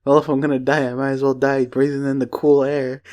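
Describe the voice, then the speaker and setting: A man's voice: mid-range voice, completely flat delivery, fairly quick, a little mellow. A young man co-hosting a podcast, chatting in a loose, rambling way with plenty of swearing. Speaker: podcast host. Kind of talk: casual chat.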